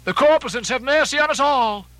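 A man voicing a hearty, blustering sailor in an audiobook, loud and emphatic. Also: high-pitched, animated, a little slow, no echo, pitched above the performer's own voice, full character voice. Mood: fearful plea. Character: hearty, blustering, superstitiously cheerful sailor, second mate. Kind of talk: audiobook character acting.

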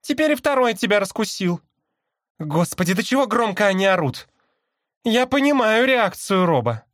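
A man voicing an exasperated, sharp-tongued woman in an audiobook, with impatient, sarcastic exclamations. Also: high voice, big pitch swings, moderate pace, no room sound, clean studio sound, voice pushed up. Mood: irritated, sarcastic. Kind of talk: dramatized audiobook character.

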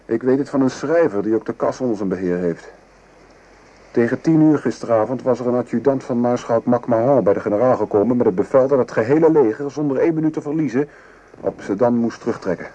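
A man playing a weary French artillery sergeant in a radio play, gravely recounting the horrors of war. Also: mid-range voice, fast, dark tone, highs cut off, lightly acted. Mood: grave, urgent. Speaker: actor. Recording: noisy old radio play recording.

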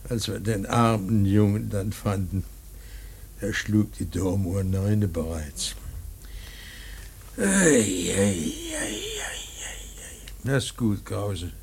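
An actor playing a grave, composed middle-aged father in grief, speaking in a measured, fatherly way in a German radio play. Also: lowish voice, expressive, creaky, darkish tone, a little hiss or hum, exaggerated voice. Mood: grave, weary.

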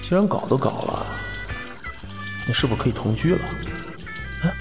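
A man's voice: mid-range voice, lively intonation, moderate pace, creaky, music in the background. An actor playing a brash, teasing younger man in a Chinese audio drama, cheeky and easily flustered, in slangy speech. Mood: musing.

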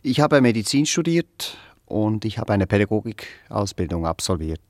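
An actor playing a self-important, evasive educated musician in a radio drama, pedantic and hesitant. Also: male voice, fairly low pitch, very animated, normal speed, darkish tone, dry recording, studio quality. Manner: pedantic, hesitant, long-winded. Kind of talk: naturalistic radio drama dialogue.

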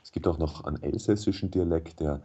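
A man speaking German as his first language, a radio interview guest who explains things in a measured way with frequent hesitations. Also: rumbling low, dark tone, breathy edge, muffled recording. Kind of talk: thoughtful radio interview answers.

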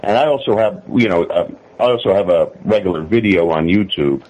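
A man from the United States giving political commentary as an interview guest, speaking haltingly with repeated words. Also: deep voice, lo-fi sound.

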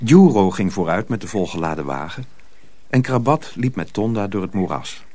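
Low, expressive male storyteller. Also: moderate pace, slight background noise. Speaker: narrator. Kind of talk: scripted storybook narration in a radio play.

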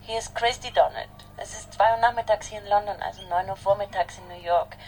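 A woman's voice: medium pitch, steady tone, brisk, lightly acted, rough recording. An actress playing an eager, lovesick, tender girlfriend leaving a phone message in a radio play. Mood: hopeful, tender. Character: eager, lovesick girlfriend Christy calling from airport.

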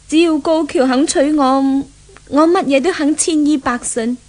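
High-voiced spoiled, pouting young daughter. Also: female voice, a little slow, a little mellow. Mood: lovesick, pouting. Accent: Hong Kong Cantonese. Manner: whining, pouting, coquettish. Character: spoiled, impatient young daughter, lovesick and petulant. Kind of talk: 1970s Cantonese radio drama acting.

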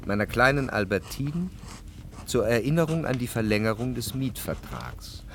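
An actor playing a brooding, introspective narrator, reading in measured, reflective for a literary radio drama. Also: male voice, mid-range voice, big pitch swings, slight background noise. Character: brooding, introspective male narrator recalling a troubled love. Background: music.

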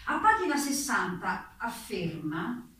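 A woman's voice: mid-range voice, very animated, slow pace, bright, forceful tone, full character voice. Probing political interrogator played by a woman, precise and formal, stage-drama delivery from afar in a very reverberant space. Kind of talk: theatrical interrogation. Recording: very echoey room, distant mic.

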